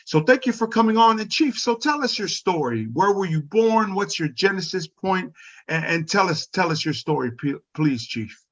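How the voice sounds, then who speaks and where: High-pitched male show host, dull audio. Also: very animated, moderate pace, fairly bright tone. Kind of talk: radio show introduction and interview.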